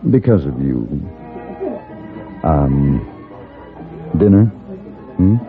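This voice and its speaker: An actor playing a suave, courtly gunfighter for hire with dry, polished speech in a radio Western. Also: male voice, very deep voice, lively intonation, exaggerated voice. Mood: smooth, wry. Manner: polished, wry, measured gentlemanly speech. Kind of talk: 1950s radio Western acting. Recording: tinny 1950s radio recording with music.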